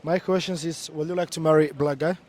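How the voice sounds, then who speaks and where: A young man from Somalia with a Somali accent, hosting a casual street interview vlog outdoors in a city centre, chatty and quick, switching between two languages. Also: fairly high pitch, fairly even intonation, darkish tone.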